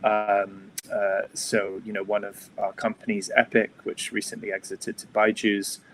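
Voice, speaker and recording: A middle-aged man from Switzerland, introducing himself as a venture capital investor in a halting way, with lots of filler sounds and restarts. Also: on the low side, monotone, poor audio. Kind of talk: panel self-introduction. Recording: faint background noise.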